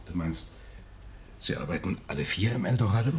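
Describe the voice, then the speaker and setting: A man's voice: low-pitched, expressive, a little fast, a little hiss or hum. An actor playing a terse, guarded man in a radio crime drama. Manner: terse, controlled. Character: terse, guarded male actor turned investigator.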